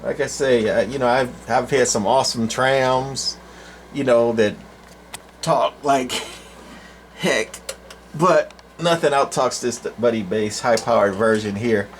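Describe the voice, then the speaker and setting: An older man giving a casual, unscripted equipment demo in a rambling, folksy, halting way with long pauses. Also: mid-range voice, slight background noise. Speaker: presenter, CB radio collector.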